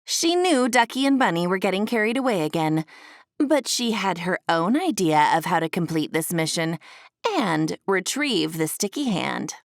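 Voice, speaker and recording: A woman reading a scripted children's storybook as an expressive narrator. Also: on the low side, moderate pace, no room sound, clean studio sound.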